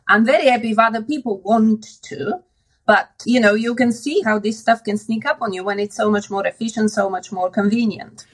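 A woman's voice: fairly high pitch, steady tone, brisk. A woman from Bulgaria speaking as an expert guest, explaining things conversationally and thinking aloud as she goes. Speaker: interview guest, expert commentator.

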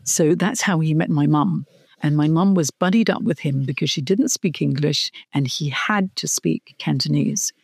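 A woman's voice: low-pitched, moderate pace, vocal fry, darkish tone, slightly rough, no echo, clean studio sound. A middle-aged woman from Hong Kong, a career coach, telling her life story in an interview, earnest and reflective, with small restarts.

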